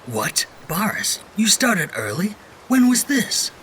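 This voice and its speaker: A man's voice: high-pitched, huge pitch swings, slow pace, sharp tone, a little hiss or hum, exaggerated voice. A man playing a grumpy, exasperated soldier in an audio drama, dry and sarcastic. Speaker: voice actor.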